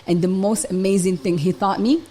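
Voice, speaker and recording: A woman's voice: medium pitch, fairly even intonation, moderate pace, mellow, slight background noise. A woman from Morocco, a nail salon owner, talking as a guest in a casual interview and telling stories loosely with many fillers.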